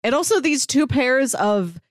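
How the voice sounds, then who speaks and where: A woman's voice: high voice, very animated, a little slow, no room sound, studio quality. A woman co-hosting a casual podcast, chatting eagerly about a TV show and laughing often. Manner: enthusiastic, gushing with laughs. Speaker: podcast co-host. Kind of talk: casual chat.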